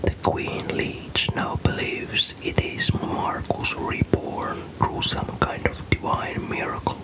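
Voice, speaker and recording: A man from Finland narrates a story in a soft, breathy, unvoiced ASMR whisper, calm, careful and unhurried. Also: rough recording.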